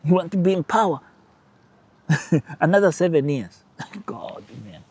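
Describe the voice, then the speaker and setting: A man with a Portuguese-speaking accent and Portuguese as his first language, hosting his own channel with emphatic, animated political commentary and brief laughs. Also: a little high, unhurried, slightly rough, dry recording. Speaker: channel host.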